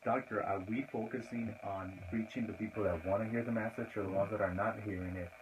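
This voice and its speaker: A man's voice: fairly low pitch, slight background noise, rough recording. A young man co-hosting a podcast, speaking in an earnest, reflective way. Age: young adult. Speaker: podcast co-host. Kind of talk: casual podcast chat.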